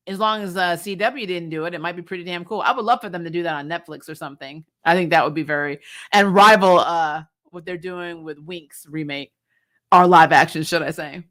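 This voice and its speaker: A woman's voice: on the low side, normal speed, a bit bright. A woman hosting a fan recap show, chatting casually and bluntly about TV with loose, informal phrasing and some swearing. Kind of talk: casual livestream chat about TV shows.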